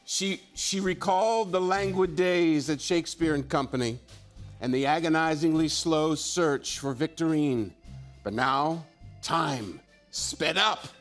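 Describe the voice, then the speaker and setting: A man's voice: fairly high pitch, a little slow, soft background music. A man with a dry, joking manner, bantering and reading aloud as a guest on a studio talk show. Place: television studio stage.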